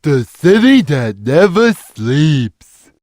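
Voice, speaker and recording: An actor playing a big, gentle, simple-minded cat in a video game, talking in a slow, drawn-out way. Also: male voice, fairly high pitch, sing-song, no echo, studio quality, heavily acted. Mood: mild wonder. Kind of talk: video game character lines.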